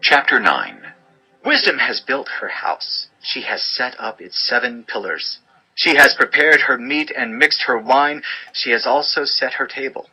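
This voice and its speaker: Forceful, sharp-voiced male scripture narrator. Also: medium pitch, expressive, unhurried, breathy edge, rough recording. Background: music bed.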